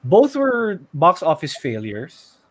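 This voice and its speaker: A man's voice: fairly high pitch, huge pitch swings, slow. A man with a Filipino accent speaking casually as the co-host of a discussion podcast. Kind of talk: casual movie discussion chat.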